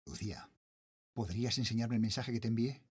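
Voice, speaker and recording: A man playing a frightened father and night guard, telling his horror story in a Spain accent with tense, dramatic delivery and hushed phrase endings. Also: on the low side, lively intonation, brisk, breathy edge. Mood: uneasy, bewildered. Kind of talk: scripted first-person horror narration, audio drama.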